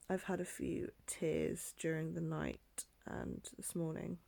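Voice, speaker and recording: Soft, husky young woman, half-whispering. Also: sits low, level tone, slow pace. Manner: soft, often half-whispered, with frequent ums and small laughs. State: bunged up, just woken. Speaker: pregnancy vlogger. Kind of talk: casual video diary.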